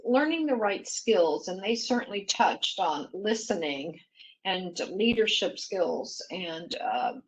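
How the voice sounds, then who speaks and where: A middle-aged woman from Mexico, a native Spanish speaker, speaking as a panelist in a thoughtful, measured way with restarts. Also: medium pitch, expressive, a little hiss or hum, rough recording. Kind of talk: panel discussion.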